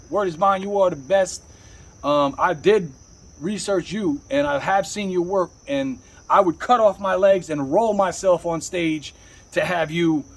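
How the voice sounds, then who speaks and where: A man speaks in a high, rough-edged husky voice that leaps up and down with emphasis. He rambles casually like a rapper telling his own story, repeating himself and peppering it with street slang and curses. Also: low-quality recording. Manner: emphatic, repetitive, streetwise slangy talk with frequent swearing. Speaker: rapper, interview guest.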